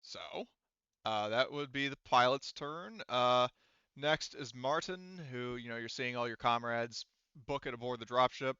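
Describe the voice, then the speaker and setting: A man's voice: medium pitch, a little slow, bright, forceful tone, smooth, dry recording, highs cut off. A man acting as game master, narrating a tabletop roleplaying game in an informal, casual way. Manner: informal, occasional swearing.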